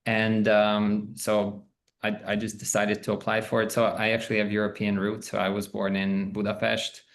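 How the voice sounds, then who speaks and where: A man in his thirties, from Canada, speaking as a professor on an online alumni panel, halting and laughing between thoughts. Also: fairly low pitch, completely flat delivery, a little hiss or hum. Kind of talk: casual panel talk. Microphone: video call.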